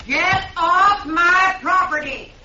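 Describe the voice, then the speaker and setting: A woman's voice: very high-pitched, takes it slowly, pushed and bright, slight background noise, heavily acted. An actress playing a defiant, stubborn woman homesteader who threatens intruders fiercely, in a 1950s radio drama. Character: defiant armed woman homesteader defending her land. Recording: roomy, muffled old radio broadcast.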